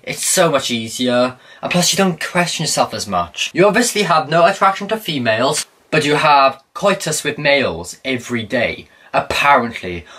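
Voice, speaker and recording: A man's voice: medium pitch, pushed and bright. A young man doing sarcastic reaction commentary in a small room, filmed by a camera set back from him. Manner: mocking, sarcastic. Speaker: commentary youtuber. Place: small room by a blue wall.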